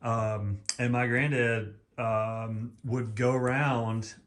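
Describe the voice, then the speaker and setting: A man from East Texas with a deep voice, rambling in a folksy way with many ums in a casual home how-to video, recorded at home on a camera mic. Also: little pitch movement, slow pace. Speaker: vlogger.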